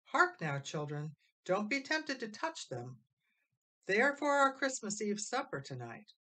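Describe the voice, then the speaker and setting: A woman's voice: mid-range voice, very animated, measured pace, sharp tone, voice pushed up, exaggerated voice. A woman voicing a kindly but firm old-fashioned country father in a storybook, folksy and ceremonious. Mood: gently warning.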